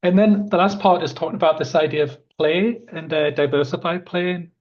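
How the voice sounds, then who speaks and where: A man in his fifties, a park planning manager, presents a webinar in a croaky voice, talking in an earnest, conversational way with many fillers. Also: on the high side, fairly even intonation, brisk. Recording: dull lo-fi audio.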